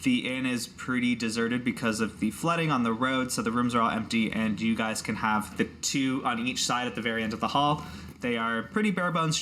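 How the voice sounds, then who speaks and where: A man's voice: medium pitch, bright, forceful tone, slight background noise. A man working as game master, improvising narration for a tabletop game in a casual, conversational way.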